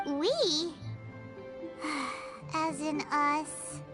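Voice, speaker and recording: A woman voicing a small, childlike, huffy fairy companion with a squeaky, indignant manner in a video game. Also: animated, very slow, music in the background, exaggerated voice. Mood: uneasy, scolding. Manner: squeaky, indignant, speaks of self in third person. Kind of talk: animated video game voice acting.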